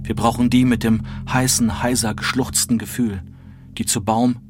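A man's voice: medium pitch, little pitch movement, normal speed, music in the background, lightly acted. An actor playing an exhausted, impassioned man, declaiming literary prose with weary emphasis. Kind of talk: dramatic literary performance.

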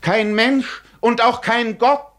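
A man performs a stranded wanderer from ancient legend in a fifties radio play. The voice leaps high and loud, crying out in desperate, theatrical anguish. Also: steady tone, takes it slowly, full character voice. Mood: desperate, shouting. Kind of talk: 1950s radio drama acting.

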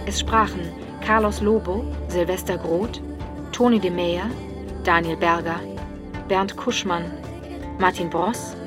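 A woman's voice: on the low side, fairly even intonation, takes it slowly. A woman formally announcing titles and credits for a radio drama, reading from a script. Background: music.

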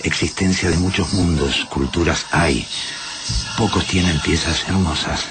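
An actor playing a dying, gentle alien in a radio drama, weak and solemn, speaking in halting, oddly ordered phrases. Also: male voice, low-pitched. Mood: weary, reverent. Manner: broken, oddly ordered foreign-sounding syntax, solemn halting delivery. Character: dying gentle male alien, noble, serene, awed by beauty. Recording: voice under loud music.

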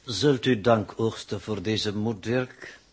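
A man acting a cold, superior old man, an alien in disguise, speaking formally and sardonically in a 1960s radio drama, with a husky voice. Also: on the low side, takes it slowly, exaggerated voice. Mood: mocking. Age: older.

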